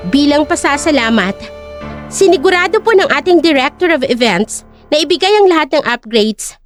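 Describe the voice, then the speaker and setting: A woman voicing a calm, self-assured, wealthy woman hotel owner speaking formally in an audio drama. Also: sits high, moderate pace, soft background music. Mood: calm, authoritative. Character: confident wealthy young woman hotel owner giving a poised speech. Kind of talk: audio drama character speech.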